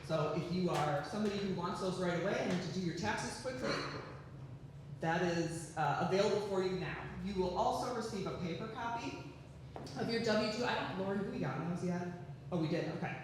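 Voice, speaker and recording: A man with a fairly high voice talks staff through updates in a loose, chatty way full of ums. He sounds far from the microphone in an echoey hall with long reverb. Room noise is clearly audible behind him. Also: fairly quick. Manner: chatty, filler-heavy with many ums. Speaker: HR presenter at a company meeting. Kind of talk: casual presentation to staff. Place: large meeting room with staff audience.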